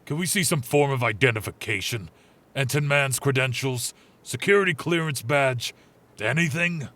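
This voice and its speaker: A man's voice: medium pitch, moderate pace, fairly bright tone, lightly acted. An actor playing an angry, confrontational man in his forties who acts like a battle-hardened factory veteran in an audio comedy. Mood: outraged. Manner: confrontational, melodramatic, interrogating. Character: indignant forties man, self-styled bakery factory veteran. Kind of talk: audio drama comedy acting.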